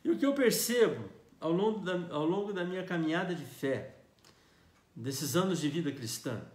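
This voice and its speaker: A man's voice: on the high side, lively intonation, a little mellow. Echoing Brazilian pastor in his fifties. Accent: Brazilian Portuguese. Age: 50s. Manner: earnest preaching, emphatic scripture reading, slows for key words. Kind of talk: sermon. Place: church sanctuary. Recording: echoey church hall.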